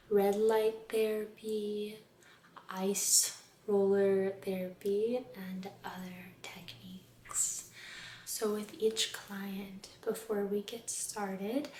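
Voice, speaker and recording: A woman speaking softly and breathily, often near a whisper, in a gentle, soothing ASMR role-play as a spa aesthetician. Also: medium pitch, roomy sound, a little hiss or hum. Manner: gentle, soothing, unhurried, careful. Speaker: ASMR performer playing a med spa aesthetician. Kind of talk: soft-spoken ASMR personal attention role-play.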